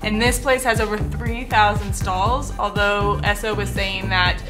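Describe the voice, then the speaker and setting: A woman's voice: medium pitch, fairly even intonation, a little slow, over music. A woman in her thirties narrating a casual travel vlog. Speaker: travel vlogger.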